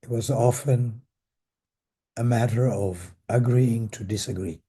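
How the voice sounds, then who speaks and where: An older man, hoarse with a cold and losing his voice, recalls history in a measured, halting panel talk as a retired academic. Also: medium pitch, mellow. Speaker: panelist, retired professor and former association president.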